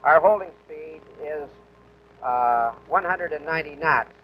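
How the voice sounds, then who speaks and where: High-pitched airline pilot explaining. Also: male voice, unhurried. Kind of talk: technical explanation. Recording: dull old film sound, faint noise.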